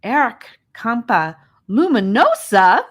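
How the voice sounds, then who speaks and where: Woman voicing an eager know-it-all young boy, pitched up. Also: big pitch swings, slow pace, full character voice. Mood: proud. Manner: knowing, matter-of-fact explaining.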